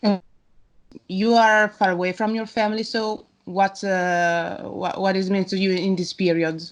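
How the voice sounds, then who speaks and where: A woman interviewer speaks at a medium pitch. She puts her questions informally, feeling her way through phrasing. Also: level tone, measured pace. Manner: hesitant non-native English phrasing.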